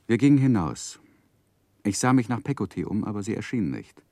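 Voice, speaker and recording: Deep-voiced, reflective narrator. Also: male voice, very animated, brisk, dark tone, dry recording. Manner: literary, reflective. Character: grown man recalling his sorrowful boyhood. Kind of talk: 1960s German radio drama narration.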